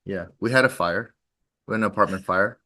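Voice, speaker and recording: Man with a very deep voice, relaxed and reflective. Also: a little fast. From New Jersey, USA. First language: Korean. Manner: relaxed, reflective, trails off often with fillers. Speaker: podcast co-host. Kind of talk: casual conversation with his wife.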